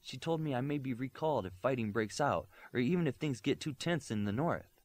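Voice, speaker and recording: A man's voice: medium pitch, animated, brisk, pitched above the performer's own voice, close to an everyday voice. A man voicing a firm, loyal young superheroine in an audiobook, with a dry manner. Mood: resolute.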